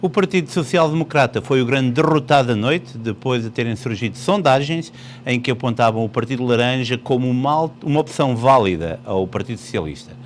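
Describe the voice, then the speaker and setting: A man's voice: medium pitch, expressive, a little fast, a little hiss or hum. A man reporting election news and putting questions to politicians in a plain, informative way.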